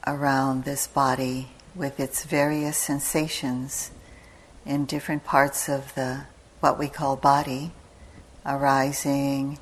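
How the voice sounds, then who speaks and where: A low-pitched woman leads a guided meditation at a very slow pace. She speaks calmly in short, measured phrases with long pauses between them, and her intonation stays very flat. She is a teacher giving step-by-step instruction. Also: a little hiss or hum, low-quality recording. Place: meditation retreat hall.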